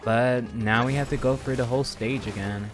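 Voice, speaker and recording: A man's voice: mid-range voice, steady tone, moderate pace. A man doing casual live game commentary as a streamer, muttering and exclaiming to himself as he plays. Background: game music.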